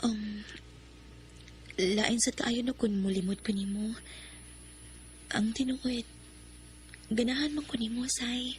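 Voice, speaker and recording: A woman's voice: on the high side, steady tone, slow pace, long pauses, slight background noise. A heartbroken young woman. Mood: tender. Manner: emotional, soft and tearful at times. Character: heartbroken young woman falling in love again. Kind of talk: radio drama acting.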